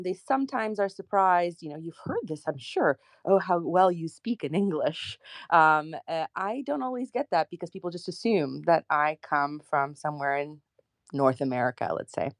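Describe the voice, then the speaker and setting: A woman from Poland of Ukrainian family, speaking with a slight Ukrainian accent in a chatty, lively way as a podcast guest. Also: lowish voice, no echo. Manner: lively, chatty, self-deprecating asides. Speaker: political scientist guest. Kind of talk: interview answers.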